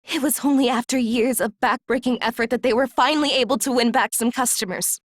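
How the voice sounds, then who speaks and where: High-voiced, earnest young woman played by an actress. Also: brisk, no echo, clean studio sound, lightly acted. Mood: pained recollection. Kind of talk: English video game voice acting.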